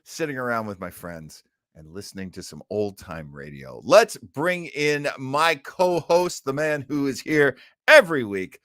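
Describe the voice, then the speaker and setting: An American man hosts a casual chat show in a theatrical, effusive way. His pitch swings widely in a middle register, and he sounds close and dry, with a self-mocking streak. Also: big pitch swings, measured pace, fairly bright tone, no room sound. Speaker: host. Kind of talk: casual chat on an old time radio fan show. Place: indoors at home.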